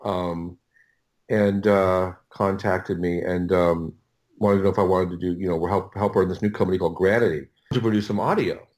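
Podcast guest: American male, middle-aged, slightly low pitch, loose halting storytelling with frequent self-corrections. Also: steady tone, darkish tone. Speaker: audiobook producer, podcast guest. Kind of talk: casual interview storytelling.

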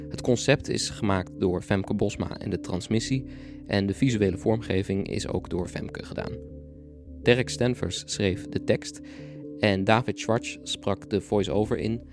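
Male podcast presenter for scripted closing announcements, fairly low voice, guitar music clearly behind. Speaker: podcast presenter reading credits.

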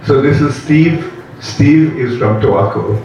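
Slow-talking man in an echoey hall. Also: mid-range voice, dull audio. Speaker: spiritual teacher leading an initiation class. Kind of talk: introducing participants to a group. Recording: echoey hall, distant mic, loud crowd noise.

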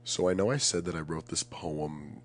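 A man's voice: low voice, moderate pace. A young man chatting casually and reflectively as a podcast guest, earnest and emphatic, often searching for the right words.

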